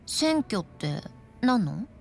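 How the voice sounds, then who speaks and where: An actress playing a brisk, confident young woman in a video game, casual and a little bossy. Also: mid-range voice, big pitch swings, vocal fry, a little hiss or hum, exaggerated voice. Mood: casual, curious. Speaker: game character actor. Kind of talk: Japanese video game voice acting.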